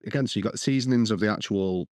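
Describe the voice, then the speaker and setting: Low-voiced man chatting casually. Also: very fast, a little mellow, no room sound, clean studio sound. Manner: rambling, restarts sentences, lists recipe steps. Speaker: podcast co-host. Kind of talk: casual chat.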